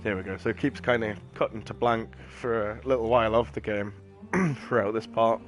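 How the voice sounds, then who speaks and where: A man's voice: lowish voice, steady tone, brisk. A man giving casual gameplay commentary in a rambling, matter-of-fact, slangy way. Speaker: game streamer. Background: game music and sound effects.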